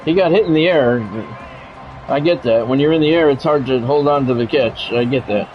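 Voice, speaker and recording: A man's voice: medium pitch, brisk. A man doing sports play-by-play for a video game stream, phrasing it like a radio announcer with casual asides. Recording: dull, lo-fi audio with music behind.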